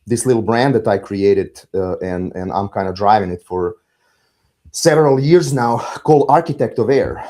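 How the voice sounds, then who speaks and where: A middle-aged man with a Serbian accent, talking reflectively as an interview guest, halting and philosophical as he searches for words. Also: lowish voice, warm tone. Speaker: podcast guest, musician.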